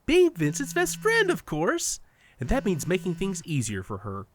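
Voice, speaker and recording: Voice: mid-range voice, wildly swooping pitch, normal speed. An actor playing a smug, playful, unreal best friend in an audio drama, teasing and condescending in a sing-song way. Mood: amused, smug. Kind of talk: audio fiction podcast acting.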